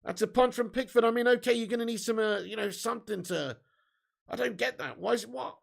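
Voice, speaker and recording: A man with a hoarse, fading voice, giving sweary, excitable live fan commentary while reading chat. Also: very high-pitched, a little fast. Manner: swearing, excitable, shouts at chances. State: losing his voice. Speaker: fan watch-along streamer.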